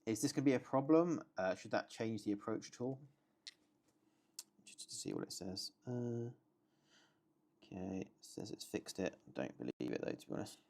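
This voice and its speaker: A man's voice: sing-song, moderate pace, long pauses, a little mellow. A man thinking aloud while he codes, muttering half-finished remarks to himself. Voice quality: low, gravelly voice. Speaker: solo coding streamer.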